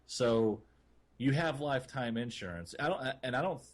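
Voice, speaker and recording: A man co-hosting a gaming podcast in a casual, rambling way, with a somewhat husky voice. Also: mid-range voice, animated, moderate pace. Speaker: podcast co-host.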